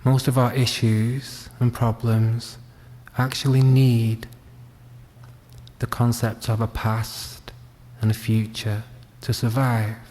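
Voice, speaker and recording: A man leading a guided meditation, speaking gently and leaving long pauses. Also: medium pitch, flat delivery. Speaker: meditation teacher. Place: reverberant room at a wellness centre. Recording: long hall-like reverb.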